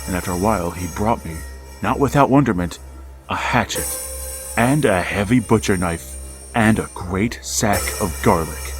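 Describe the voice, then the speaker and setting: An actor playing an aging father in a wheelchair, narrating a radio horror drama in a slow, halting, hushed manner. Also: fairly low pitch, lightly acted. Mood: haunted. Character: older man father in a wheelchair, haunted, resigned narrator. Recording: music bed under the voice.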